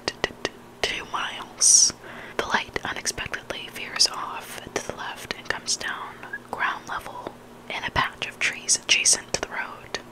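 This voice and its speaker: An ASMR creator whispering scary stories in a soft, unhurried narration, phrased for dramatic storytelling. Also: rough recording. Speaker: ASMR creator reading listener horror stories.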